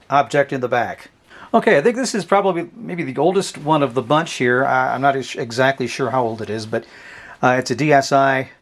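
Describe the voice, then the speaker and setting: Man giving a halting, offhand product demo. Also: mid-range voice. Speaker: host of a vintage radio review channel. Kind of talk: casual unscripted product demonstration.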